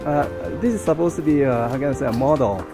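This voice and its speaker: A man from Japan with a Japanese accent, a native Japanese speaker, chatting and narrating casually as a travel vlogger. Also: mid-range voice. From Toyama, Japan. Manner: halting, searching for words in English. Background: music.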